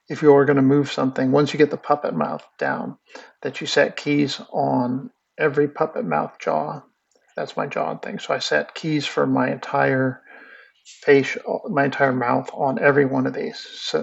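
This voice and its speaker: A man's voice: medium pitch, gravelly, husky, dull audio. A man teaching animation in a casual screen-recorded tutorial, rambling through steps with lots of fillers while he clicks. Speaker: animation instructor.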